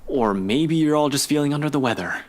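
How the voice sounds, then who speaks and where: A man playing a gruff, skeptical police officer in a visual novel, curt and dismissive. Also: fairly high pitch, expressive, fairly quick, close to an everyday voice.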